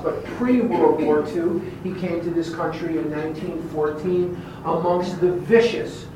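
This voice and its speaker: A man with a Chicago American accent giving a personal testimony speech, emphatic and deliberate, repeating phrases for effect. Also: a little high. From Chicago, USA. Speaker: guest speaker at recovery meeting. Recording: very echoey room, distant mic, clear background noise.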